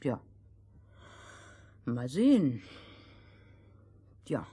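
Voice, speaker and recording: A woman's voice: extremely low pitch, big pitch swings, extremely slow, warm tone, breathy edge. A woman storyteller narrating a children's fairy tale in a scripted, conversational style.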